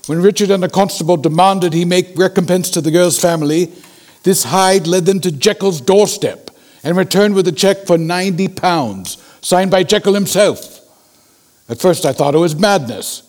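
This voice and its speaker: A man acting an aging Victorian gentleman in a period audio drama. His voice sits high for a man. He speaks in a formal, measured, courteous way, as an earnest and concerned friend. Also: lightly acted. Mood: troubled, grave.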